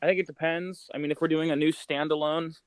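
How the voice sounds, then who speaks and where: A man co-hosting a podcast in casual, slangy chat, rambling as he thinks out loud. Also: a little high, flat delivery, quick pace, clean tone. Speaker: podcast co-host.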